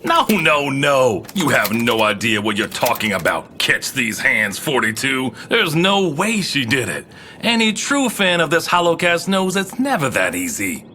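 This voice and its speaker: A man's voice: medium pitch, very animated, unhurried, bright, forceful tone, slight background noise. An actor playing an obsessive male fan in an audio drama, chatting casually in a dismissive, argumentative, geeky way. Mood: indignant. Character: opinionated, obsessive male superfan of a podcast.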